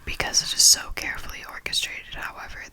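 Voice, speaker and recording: Whisper-only ASMR reader with a very bright, crisp edge, reading steadily from a nonfiction book. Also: quick pace.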